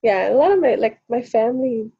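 Woman, from South Africa, deep fry in the voice, husky, casual podcast chat, rambling, dull lo-fi sound. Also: animated, fairly quick, warm tone. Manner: rambling and reflective, many fillers. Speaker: podcast co-host.

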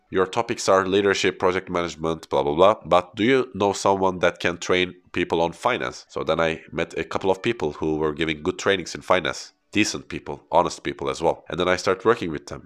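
Deep-voiced Turkish man telling his story. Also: fairly bright tone. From Turkey. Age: 30s. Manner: earnest, reflective storytelling. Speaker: podcast host and corporate trainer. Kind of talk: solo podcast monologue.

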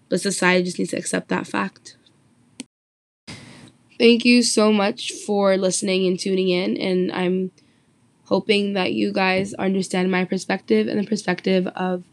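An earnest young woman hosts a student discussion show. Her voice sits in a steady, narrow pitch band. The recording is close and dry. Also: no room sound. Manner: earnest, asks the panel questions. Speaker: host.

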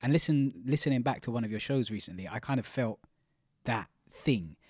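Male podcast host, mid pitch, musing casual delivery, dry close mic. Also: expressive, fairly quick, slightly rough, dry recording. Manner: reflective, casual, swears freely. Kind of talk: casual interview chat.

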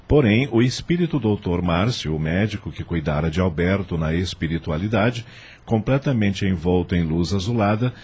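A man's voice: low voice, a little mellow, husky. A man with a Brazilian accent reading scripted narration for a radio drama. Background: music under the voice.

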